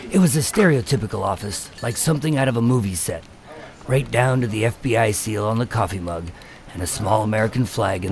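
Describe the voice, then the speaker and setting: A man playing an undercover agent in a radio drama, telling his story with deadpan, wry tension. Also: on the low side, lightly acted. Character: wry, nervous undercover agent posing as mob enforcer. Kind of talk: first-person noir radio drama narration and dialogue.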